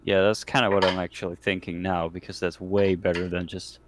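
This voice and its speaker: A man's voice: low voice, level tone, fairly quick, noisy background. A man talking casually as a player in a tabletop roleplaying game, working through options.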